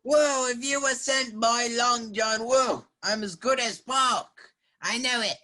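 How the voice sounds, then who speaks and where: A woman's voice: fairly high pitch, takes it slowly, bright, forceful tone. A woman playing a half-wild marooned castaway sailor in a radio play, eager and rambling, with rustic sailor dialect. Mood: wary, anxious. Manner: rambling, repeats words, exclaiming. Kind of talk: children's theater radio play acting.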